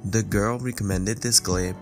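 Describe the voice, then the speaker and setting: Low-voiced male clip narrator over music. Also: steady tone, moderate pace. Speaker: narrator of a viral clip compilation. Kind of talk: scripted narration.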